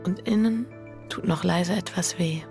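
An actress playing a reflective, troubled married woman in an intimate, hushed radio drama. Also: fairly low pitch, a little slow, over music. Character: reflective, troubled married woman facing her fear and guilt. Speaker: lead actress and first-person narrator.

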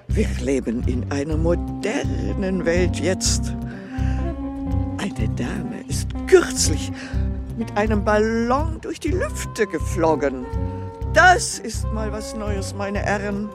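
A woman's voice: medium pitch, sing-song, slow, music in the background. An actress playing a haughty, mocking evil queen in a children's radio play, imperious and scornful, with hesitant fillers.

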